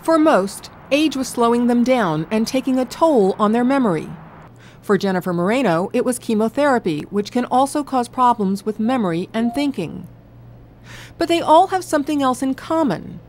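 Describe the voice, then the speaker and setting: Female documentary narrator, measured and clear, a bit high-pitched, light background hiss. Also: animated, darkish tone.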